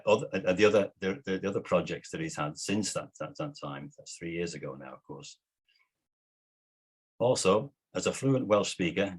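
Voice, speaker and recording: A man hosting a local group meeting, introducing the guest speaker in a measured way with some fillers. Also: low-pitched, husky. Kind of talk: reading an introduction.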